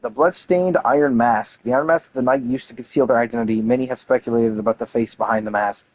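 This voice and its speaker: A man's voice: mid-range voice, fast, darkish tone, slight background noise, low-quality recording. A man reading game lore aloud and commenting casually and enthusiastically, with some casual swearing. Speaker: gaming content creator.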